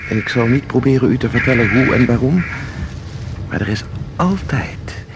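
An actor in a Dutch audio drama playing a composed, knowing guide who explains things in a measured, formal way. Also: male voice, medium pitch, lively intonation, noisy background, close to an everyday voice. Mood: composed, mysterious. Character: composed, knowing male guide through eerie painted worlds.

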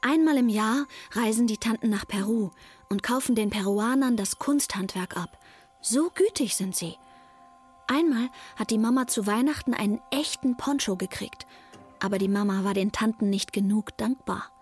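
A woman's voice: on the high side, moderate pace, a little mellow, husky, faint music behind. A woman playing a naive, earnest young child who narrates a radio drama in a plain, matter-of-fact way.

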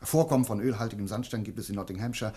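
A man acting as a calm, courteous scientific investigator in a German radio mystery drama, speaking in a measured, slightly hesitant way. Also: lowish voice, slightly rough. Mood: pensive.

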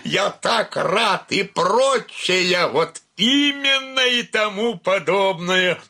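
An actor playing an effusive, fussy older country landowner in a radio play, gushing with endearments in a theatrical, husky voice. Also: male voice, high voice, lively intonation, slow pace, sharp tone, slight background noise, full character voice. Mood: overjoyed. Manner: gushing endearments and repeated filler phrases.

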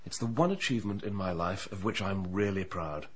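An actor playing an older, complacent upper-class English banker in a radio drama, genial, assured and bluff, with a well-bred English accent. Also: male voice, low-pitched, animated, a little fast, breathy edge. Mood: proud, genial.

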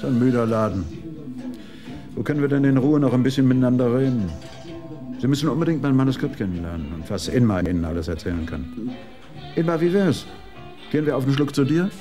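An actor playing a boastful, self-pitying, sleazy screenwriter in a radio drama, with a pompous, ingratiating way of talking, music heard behind. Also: male voice, medium pitch, lively intonation, fast talker, pauses often, natural voice. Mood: eager.

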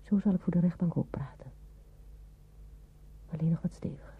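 Anxious nurse, tinny radio drama. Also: female voice, fairly low pitch, normal speed, breathy edge. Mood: anxious, hushed. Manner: hushed whispered asides between dutiful phone replies. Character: anxious hospital nurse, timid, racked by nervous inner thoughts. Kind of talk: radio drama inner monologue. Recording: tinny band-limited old radio drama recording.

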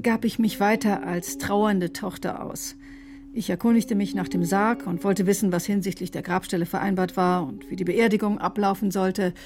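A woman's voice: medium pitch, lightly acted. An actress playing an earnest, defensive doctor who tells her own story in a radio drama. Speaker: doctor, first-person narrator. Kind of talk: radio drama narration and dialogue.

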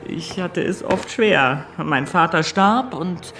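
Bewegt erzählender, heller Mann. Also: male voice, fairly high pitch, animated, takes it slowly, pushed and bright, exaggerated voice. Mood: wistful. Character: reminiscing, nervously laughing man of humble origins. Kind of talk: German radio drama acting.

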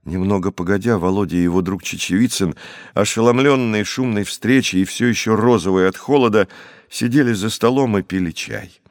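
Guy w a deep voice reading an audiobook story, super dry recording, scripted narration. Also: fairly quick, dry recording.

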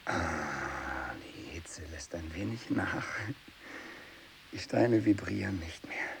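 An actor playing a tormented, fanatical renegade missionary who worships evil, in a feverish radio drama monologue from the 1960s. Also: male voice, animated, slow, creaky, heavily acted. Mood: weary, feverish. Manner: feverish, swinging from hushed whispering to shrill ecstatic cries. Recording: hissy 1960s radio recording.

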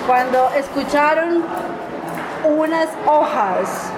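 A woman improvising a children's story as narrator, in drawn-out phrases with pauses between them. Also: high-pitched, animated. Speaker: storyteller leading children in a radio play. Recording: noisy workshop recording with loud background crowd. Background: loud noise and crowd of people.

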